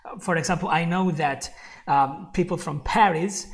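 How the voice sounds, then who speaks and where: A man's voice: a little high, normal speed. A young man with an Italian accent, a native Italian speaker, teaching a live online English lesson in an encouraging way, repeating phrases and speaking straight to his viewers.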